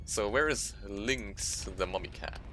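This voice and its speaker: A young man with a low voice chats loudly and slowly over a live game stream, trailing off and restarting his words. He sits at a computer at home. Keyboard clatter and typing sit clearly behind him. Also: a little hiss or hum. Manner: loud, rambling, self-interrupting. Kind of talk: casual live-stream chatter while gaming.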